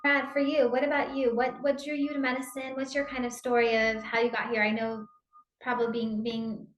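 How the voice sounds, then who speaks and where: A woman's voice: fairly high pitch, little pitch movement, brisk. Effusive woman in an echoey room. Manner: enthusiastic, effusive, rambling questions. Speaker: interviewer. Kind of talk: interview host questions.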